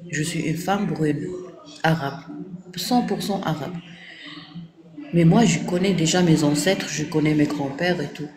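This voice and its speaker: Arabic-accented woman lecturing over crowd noise. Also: deep voice. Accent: Arabic-accented French. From Morocco. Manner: didactic, firm, repeats "ok?" to check agreement. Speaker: live streamer answering viewer comments. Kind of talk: casual live-stream talk.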